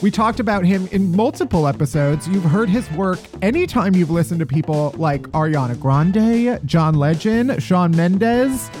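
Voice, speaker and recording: A man's voice: sits high, animated, moderate pace, few pauses, a little mellow, faint music behind. A man from Chicago hosting a podcast, joking in a playful, sarcastic way and swearing casually. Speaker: podcast host. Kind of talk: casual comedic chat.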